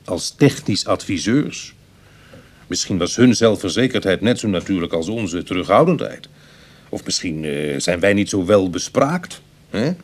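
Wry ex-burglar, low voice. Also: male voice, animated, measured pace. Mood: uneasy, wry. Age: 30s. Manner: dry, colloquial, self-deprecating. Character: wry, self-conscious ex-burglar in his thirties. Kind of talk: Dutch radio play acting.

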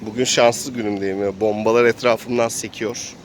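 A man's voice: lowish voice, fairly even intonation, moderate pace, slight background noise. A man improvising narration for a tabletop role-playing game, telling the action as if he were living it. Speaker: game master and player.

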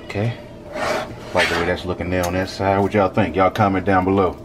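A man in his thirties talks his viewers through a home recipe in an easygoing, slangy way. His voice sits a bit low. A heavy hum of air conditioning runs under everything in a small kitchen. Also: little pitch movement, fairly quick. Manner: laid-back, slangy, talks to viewers as "y'all". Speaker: vlog host cooking. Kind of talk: casual cooking vlog chat. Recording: loud hum behind voice.

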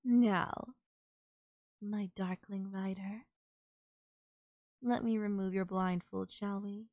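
Woman acting as obsessed female captor, creaky voice, dry close sound, teasing, controlling, audio drama. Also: medium pitch, fairly even intonation, slow, dark tone, dry recording, muffled recording. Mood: teasing, menacing. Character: sultry, possessive, gloating female kidnapper obsessed with her captive. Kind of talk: intimate roleplay audio drama.

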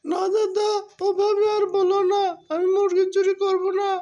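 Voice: very high voice, level tone, normal speed, mellow, lo-fi sound. A performer voicing a young fox, a pleading, whiny chicken thief, in a cartoon. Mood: pleading, sorry. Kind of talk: cartoon voice acting.

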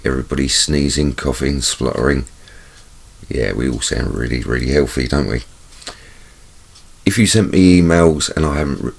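A man's voice: rumbling low, vocal fry, slightly rough. A man with a stuffy, bunged-up voice hosts his own channel, talking chattily over camera footage and repeating words for emphasis. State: congested, bunged up. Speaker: channel host. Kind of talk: casual video commentary over camera footage.

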